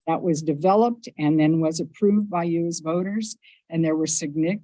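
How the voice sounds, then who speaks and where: A woman with a lowish, loud voice gives a prepared address in a council chamber. She moves through her points in a measured, upbeat way and leans on each item in a list. The sound is dry and close on the microphone. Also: dry recording, poor audio. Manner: measured, upbeat, stresses lists of items. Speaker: mayor giving a state of the city address. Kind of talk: prepared civic speech. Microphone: council meeting microphone.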